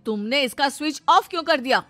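A woman's voice: high-pitched, animated, fairly quick, fairly bright tone, soft background music. A woman voicing an exasperated young husband who complains and reproaches in a family cartoon. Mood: angry reproach. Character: exasperated young husband, an office worker, quick to blame. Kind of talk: animated family drama voice acting.

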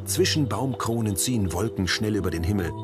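A man reading scripted audio description of scenes in a measured way. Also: fairly low pitch, expressive, music in the background.

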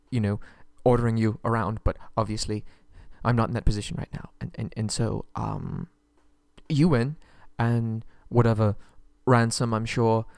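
A man's voice: mid-range voice, creaky, a little mellow, no echo. A man playing a haughty but frightened young kidnapped prince in an ASMR roleplay audio drama, stammering and pleading, close on the mic.

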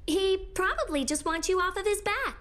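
Grumpy anime girl, really high voice, lively, no nonsense. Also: normal speed. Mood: skeptical. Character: irritable, no-nonsense young girl. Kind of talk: English anime dub acting. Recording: music behind.

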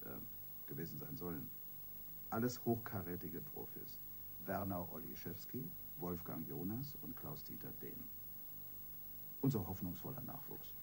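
Seasoned police superior, radio crime drama: male, dark low voice, colloquial, sardonic, musing. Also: very animated, moderate pace, gravelly, a little hiss or hum, exaggerated voice. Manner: colloquial, dry, musing, with tag questions.

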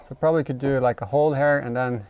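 A man in his thirties giving casual, halting hands-on commentary as a vlog host, thinking aloud while he works. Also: mid-range voice, fairly even intonation, a little mellow, smooth. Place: inside a car in a workshop.

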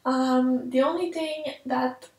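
A young French-Canadian woman with a high, fairly level voice talks through a casual vlog Q&A in her second language, earnest and a little hesitant, often pausing on "um" as she searches for words. She sits at her home office desk, a bit back from the camera mic, and the room sounds echoey. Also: steady tone, distant mic. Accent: Quebec French. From Quebec, Canada. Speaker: tech vlogger. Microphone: camera mic at desk distance.

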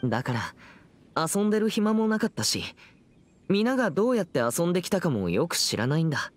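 A man playing a serious, reserved teenage boy exorcist in a Japanese anime game, speaking formally and in a measured way. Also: high voice, very animated. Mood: reflective, earnest.

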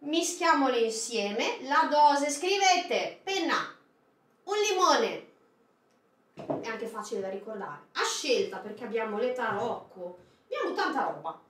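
A woman in her thirties with a high, very animated voice that leaps up and down as she talks. She chats directly to her viewers, asking them questions and handing out recipe tips. Her voice carries a roomy echo from a small indoor set. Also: a little slow, a little hiss or hum. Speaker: food box presenter. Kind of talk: chatty product unboxing with recipe tips. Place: small indoor studio set with produce crates. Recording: echoey room.

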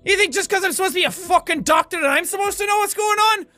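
A man's voice: very high-pitched, very animated, measured pace, sharp tone, faint music behind, full character voice. A man playing a cocky, foul-mouthed, manic quack surgeon with a mock German accent, theatrical and erratic, in comedic video game commentary. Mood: frantic, outraged. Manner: theatrical, boastful, swearing, erratic outbursts.

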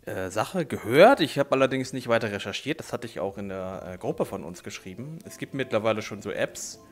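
A man's voice: fairly low pitch, animated, a little fast. A man with a German accent and a slight cold, chatting casually and at length as a gaming video host. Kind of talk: casual chat while gaming. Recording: game music behind the voice. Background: video game music.

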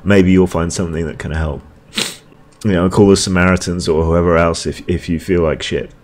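A man talking alone in a weary, halting monologue with frequent ums and restarts, reacting to a debate for his video channel. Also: low voice, flat delivery, darkish tone, a little hiss or hum. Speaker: video blogger.

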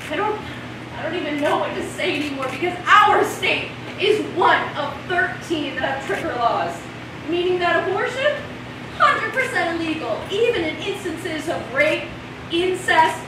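A very high, impassioned voice rings through an echoey hall, heard from far off. It is a woman on stage playing an indignant, anguished woman in crisis, delivering a theatrical monologue with heavy emphasis. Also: noisy background. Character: indignant, anguished women in crisis over lost rights. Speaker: stage actor. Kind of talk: theatrical monologue in a one-act play. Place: indoor stage before a seated audience. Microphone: distant camera.

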